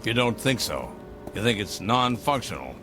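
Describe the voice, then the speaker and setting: Eccentric older male mentor, radio drama: lowish voice, hugely swooping delivery, avuncular and florid. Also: big pitch swings, moderate pace, music in the background. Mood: genial, knowing. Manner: grandiloquent, avuncular, emphatic. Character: eccentric older gentleman, secretive rebel mentor, fond of laughter. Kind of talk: theatrical audio drama acting.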